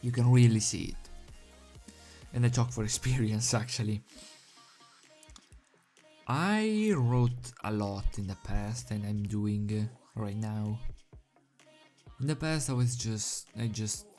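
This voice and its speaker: A man speaks English as a second language at a slow, halting pace. He sounds like an amateur reader chatting casually, self-deprecating and giggly. Faint music plays behind his homemade recording. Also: on the low side, dark tone. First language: not English. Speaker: amateur fan fiction reader. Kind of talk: story reading with casual commentary. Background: background music.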